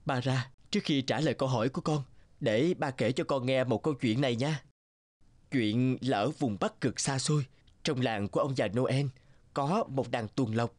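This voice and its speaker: A man narrating a cartoon story, gentle as a father telling a tale to his child. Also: mid-range voice, moderate pace.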